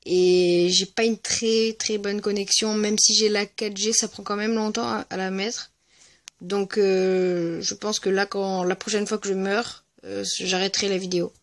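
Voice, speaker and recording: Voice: mid-range voice, flat delivery, a little slow, no room sound. Hesitant gamer, very dry sound. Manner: hesitant, rambling. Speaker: gaming video creator. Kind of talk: casual gameplay commentary. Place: at home, voice-over on mobile gameplay recording.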